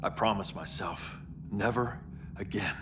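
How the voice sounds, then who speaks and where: A man playing a grim, self-righteous superhero turned ruler in a dramatic video game, speaking gravely and with command. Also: fairly low pitch, unhurried, noisy background, close to an everyday voice. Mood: urgent persuasion. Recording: echoey, distant, music behind.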